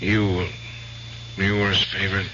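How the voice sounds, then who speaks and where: An actor playing an embittered, anguished American veteran and piano player in a radio drama, with intense, halting, questioning delivery. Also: male voice, sits low, little pitch movement, pushed and bright, a little hiss or hum. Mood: weary, pleading. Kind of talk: old-time radio drama acting. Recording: dull, humming old radio broadcast recording.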